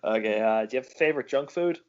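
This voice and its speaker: A man's voice: medium pitch, fast talker. A man hosting a casual chat, asking questions while swearing constantly and teasing his guest. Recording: dull lo-fi recording.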